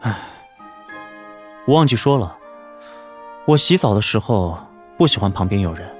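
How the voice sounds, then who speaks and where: A man acts out a young male mage in an audiobook story. He is wry and self-mocking, talking in an emphatic, sighing way with lively swings of pitch over faint music. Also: moderate pace. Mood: earnest, firm.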